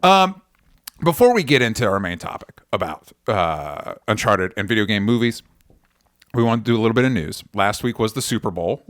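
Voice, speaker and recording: A man's voice: fairly low pitch, big pitch swings, normal speed, a bit bright, no echo, studio quality. A man chatting casually as a podcast host, in a rambling, jokey and self-deprecating way. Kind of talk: casual chat.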